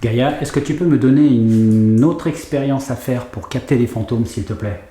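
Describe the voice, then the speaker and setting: A man's voice: medium pitch, moderate pace, mellow, echoey room, soft background music. A man with a head cold talking hesitantly to camera as he investigates an old house, with many fillers. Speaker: paranormal investigator vlogger. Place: large room in an old house, indoors.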